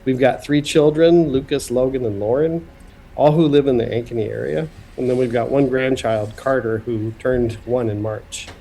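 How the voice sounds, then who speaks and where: A man's voice: mid-range voice, normal speed, warm tone, slightly rough, low-quality recording. A man in his fifties from Iowa, speaking as a podcast guest over a video call in a plainspoken, friendly way with light humor. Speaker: guest, school superintendent. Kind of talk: podcast interview.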